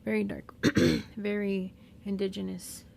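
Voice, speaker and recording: A woman with a light Southern California accent, whose first language is Spanish, muses aloud without a script. Her voice carries heavy vocal fry and stays fairly level in pitch. A faint hiss sits under the recording. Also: little pitch movement, darkish tone. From Mexican-American. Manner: slow, reflective, with pauses and fillers. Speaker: podcast host, therapist. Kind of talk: unscripted personal monologue.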